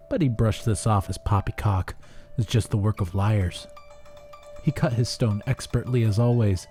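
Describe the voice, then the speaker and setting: A man narrating a rhyming folk tale as a storyteller, theatrical and expressive. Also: mid-range voice.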